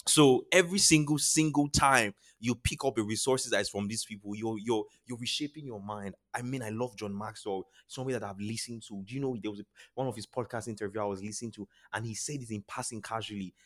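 A man's voice: lowish voice, quick pace, few pauses. A man with a Nigerian accent speaking as a podcast guest in an earnest, motivational way, repeating phrases for emphasis. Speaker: podcast guest, charity founder. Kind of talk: motivational interview talk.

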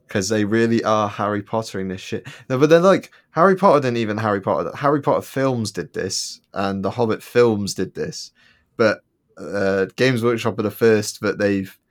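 Low-voiced guy hosting a podcast, rambly, lots of ums, jokey. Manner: rambling, many ums and restarts, joking. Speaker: podcast co-host. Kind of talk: casual podcast chat.

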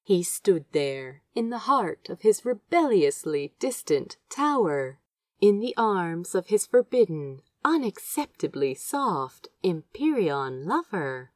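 A woman's voice: lowish voice, a little mellow, dry recording. A woman reading fiction aloud as an amateur audiobook narrator, with tender, dramatic storytelling. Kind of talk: expressive fan fiction narration.

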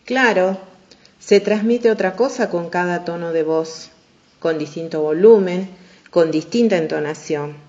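A woman's voice: lowish voice, measured pace, darkish tone. A woman chatting as a co-presenter in an educational lesson, recorded with dull lo-fi sound. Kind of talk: conversational explanation. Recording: dull lo-fi audio.

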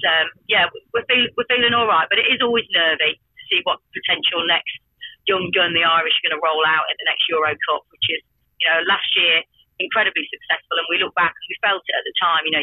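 A woman's voice: fairly low pitch, husky, no room sound. A chatty, self-deprecating woman, a team captain giving a casual interview over the phone. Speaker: amateur football team captain being interviewed. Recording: tinny telephone line.

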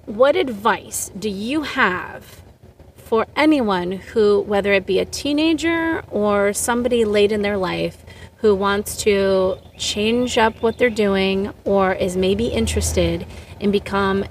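A woman's voice: medium pitch, slow pace, distant mic, a little hiss or hum. Playful woman hosting a podcast. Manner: playful, jokey, laughs often. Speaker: podcast host. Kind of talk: casual interview chat.

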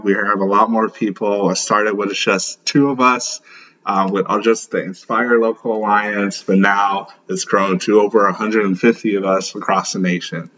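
A man's voice: lowish voice, a bit bright. A man talking casually and conversationally as he hosts a solo podcast. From born in Lagos, Nigeria; grew up in St. Louis, USA. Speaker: podcast host. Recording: dull, muffled lo-fi podcast audio.

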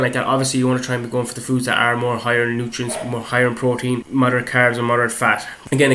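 Fast-talking croaky man. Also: mid-range voice, a bit bright. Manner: rambling, repeats "again, like that", swears casually. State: slightly croaky voice from a cold. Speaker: fitness podcast host. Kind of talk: casual solo chat.

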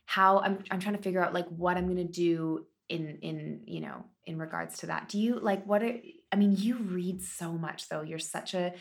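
A woman's voice: fairly low pitch, moderate pace, few pauses. A woman in her early thirties co-hosting a podcast, talking casually and rambling with many hesitations and fillers. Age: 30s. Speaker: podcast co-host. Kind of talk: casual chat.

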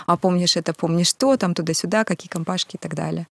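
A woman from Kamchatka in a casual interview, with a clear, ringing voice and brisk, chatty storytelling. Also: lowish voice, loud music behind the voice. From Kamchatka, Russia. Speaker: guest.

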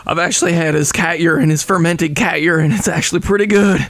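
Man acting brash survivor, high voice, fry, blunt, crude, excitable, comic horror roleplay. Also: fast talker, no echo. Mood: matter-of-fact.

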